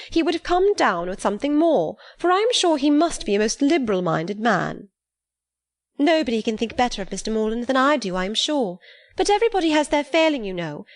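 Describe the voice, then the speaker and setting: A woman reading an audiobook, voicing a fond, fussy middle-aged mother who rambles on with flattery. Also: fairly high pitch, no echo, clean studio sound, pitched above the performer's own voice.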